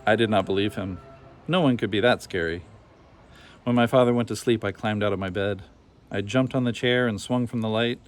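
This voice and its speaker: A man's voice: lowish voice, fairly quick, faint music behind. A man reading a scripted children's story aloud as narrator. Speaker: storybook reader.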